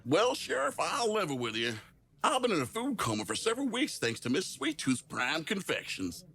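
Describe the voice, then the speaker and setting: A man's voice: sits high, huge pitch swings, moderate pace, vocal fry, sharp tone, heavily acted. A man voicing a jolly, folksy small-town western mayor in an audio drama. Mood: sheepish, jovial. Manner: folksy, chuckling.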